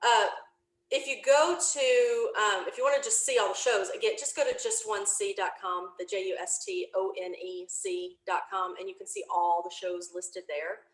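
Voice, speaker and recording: A woman talks in a casual, chatty way, explaining things at length as an interview guest. Her pitch is average, and the room adds clear echo around her voice. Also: fairly even intonation, normal speed. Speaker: podcast creator being interviewed. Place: indoor home office, remote video call.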